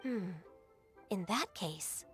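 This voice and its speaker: An actress playing a gentle, diligent young secretary and mentor, courteous and composed, in a video game. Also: female voice, medium pitch, expressive, very slow, soft background music. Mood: composed, thoughtful.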